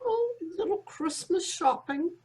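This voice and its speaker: A woman acts a busybody older landlady from old-time radio comedy. Her voice is extremely high and very animated. She is impatient and quick to bicker. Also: low-quality recording. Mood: impatient, chatty.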